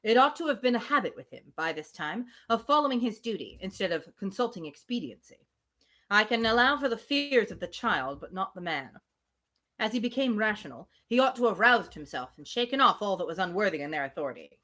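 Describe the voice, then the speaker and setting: A woman with a mid-pitched voice reads a classic novel aloud for a live stream. Her delivery is expressive, moving easily between formal prose and casual, playful chat. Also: fairly quick. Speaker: host reading a classic novel on livestream.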